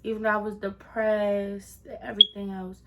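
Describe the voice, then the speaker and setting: A woman's voice: mid-range voice, little pitch movement, normal speed, heavy background noise. A woman of twenty-one answering viewer questions in a casual vlog, chatty with sassy asides to her viewers. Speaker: vlogger.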